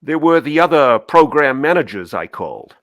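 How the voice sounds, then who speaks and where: A man's voice: fairly high pitch, very animated, moderate pace, fairly bright tone, dry recording. Guarded admiral, read by a man, telegraphic and dramatic, varied intonation, close dry recording. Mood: recounting. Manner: clipped, telegraphic phrasing. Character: guarded senior admiral recounting secrets in clipped phrases. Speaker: co-host reading a part. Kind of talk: dramatic cold reading of a memo transcript.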